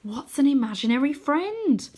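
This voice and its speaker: A woman voicing a boastful, childlike, excitable boy magician in a storybook, naive and exclaiming. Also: sits high, moderate pace, voice pushed up. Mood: naive, proud.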